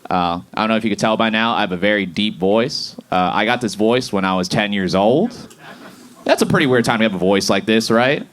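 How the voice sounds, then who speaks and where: A man of thirty doing a stand-up comedy set on a club stage, animated and jumping to high shouts on punchlines. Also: brisk. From Boston area.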